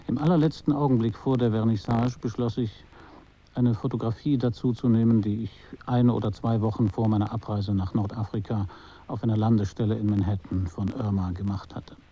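An actor playing a detached, observant photographer who tells his story in a radio play, measured and matter-of-fact. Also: mid-range voice, warm tone, highs cut off, close to an everyday voice. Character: detached, observant male art photographer recounting his encounters. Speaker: narrator and main character of a radio play. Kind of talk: literary first-person radio drama narration.

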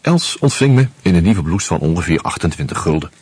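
An actor playing an anxious out-of-work husband who narrates in the first person, wry and hesitant, in a radio play. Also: male voice, deep voice, sing-song, fairly quick, a little mellow, slightly rough, heavily acted.

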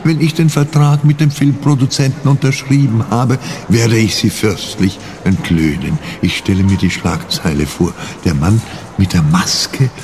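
An actor playing a vain older gentleman criminal who craves fame, speaking in a pompous, self-dramatizing way in a German radio play. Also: on the low side, unhurried, a little hiss or hum. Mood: grandiose. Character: vain aging ex-criminal craving fame again.

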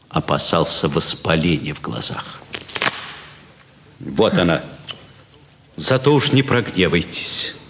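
An actor playing a boastful, cool-headed card sharp in a theatrical classic comedy, speaking in an expansive, confiding way. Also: male voice, low-pitched, exaggerated voice. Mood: proud, confiding. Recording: stage recording with long hall reverb.

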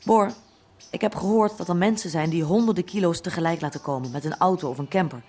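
An actress playing an overburdened small-time soft-drug dealer in a radio drama, speaking directly and colloquially. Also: female voice, lowish voice, fast, lightly acted. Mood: pressing. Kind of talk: naturalistic radio drama acting.

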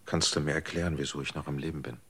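A deep-voiced, searching survivor. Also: male voice, steady tone, rapid-fire, husky, heavily acted. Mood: questioning. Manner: searching and insistent, swings between hushed and urgent. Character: anxious, probing man who survived his fated hour. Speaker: lead character. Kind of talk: radio drama acting.

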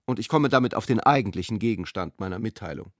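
A man's voice: lowish voice, expressive, quick pace, dry recording, studio quality, lightly acted. A man voicing a pedantic, self-important elderly father, a jurist, reading out a formal, long-winded letter in a radio drama. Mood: pedantic, insistent. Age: older.

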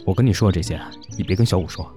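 Audio drama: protective eldest brother, male actor, low voice, polite and earnest, music underneath. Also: very fast, dark tone, lightly acted. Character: protective, courteous eldest brother of a young man. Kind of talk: Chinese audio drama acting.

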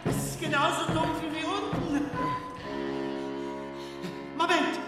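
An actor in a German radio drama playing an eager, playful male film comedian lost in darkness, with a theatrical, expressive delivery. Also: very high voice, slow. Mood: straining, searching. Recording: long hall reverb, music behind.

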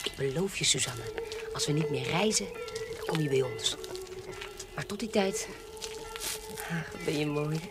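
Low-voiced, determined aunt. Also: female voice, animated, a little slow, pauses often, over music. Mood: affectionate, decisive. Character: determined, shrewd woman posing as a baroness, protective aunt. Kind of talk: period audio drama acting.

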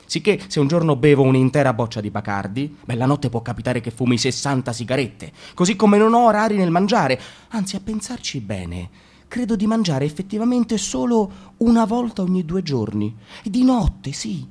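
A man's voice: medium pitch, a little mellow, close to an everyday voice. A man playing a cocky, self-destructive young slacker in a radio drama, rambling and interrupting himself as he confesses. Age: young adult. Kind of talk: theatrical radio drama monologue.

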